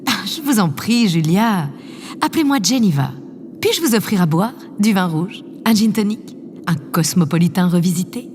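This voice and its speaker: A woman's voice: medium pitch, lively intonation, moderate pace, darkish tone, slightly rough. A woman playing a self-assured, effusive spaceship president in an audio drama, gracious and grand as she coaxes. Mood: coaxing, flattering. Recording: reverberant room, faint background noise.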